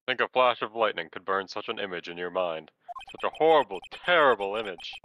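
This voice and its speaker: A man voicing an excitable, pompous courtroom judge in an amateur game fandub, speaking theatrically and formally. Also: on the low side, fairly quick, slight background noise, poor audio. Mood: solemn. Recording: music behind the voice.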